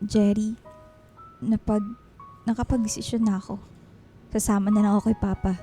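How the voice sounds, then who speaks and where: An actress playing a guilty yet defiant young wife and daughter in a Filipino radio melodrama, dramatic and defensive. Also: female voice, medium pitch, little pitch movement, moderate pace, mellow, lightly acted. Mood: resolved, impatient. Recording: music bed behind the voice.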